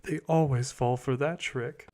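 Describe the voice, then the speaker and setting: A man playing a vain, whiny and childishly enthusiastic villain sidekick in an ASMR roleplay, with a breathy, theatrical delivery. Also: mid-range voice, steady tone, a little slow, warm tone, close to an everyday voice. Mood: gleeful, scheming. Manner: flamboyant, dramatic, petulant.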